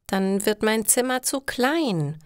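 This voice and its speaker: Vulnerable, doubtful teen schoolgirl acted by a woman for an audio storybook, raised pitch, dry sound. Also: lively intonation, slow pace, smooth, no echo. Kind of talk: acted dialogue in a learner's story.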